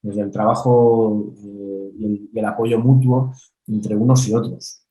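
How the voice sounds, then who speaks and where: Low, flat-voiced man. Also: poor audio. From Valencian Country, Spain. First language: Valencian. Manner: measured, thoughtful, frequent hesitant "eh" fillers. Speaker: film director, guest. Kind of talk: reflective interview answer.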